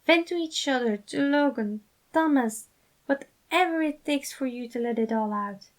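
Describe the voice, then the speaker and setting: A woman's voice: sits high, moderate pace, pitched above the performer's own voice, close to an everyday voice. A woman voicing a loving, fatherly man who talks earnestly and emphatically in a fan fiction reading. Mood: earnest, encouraging.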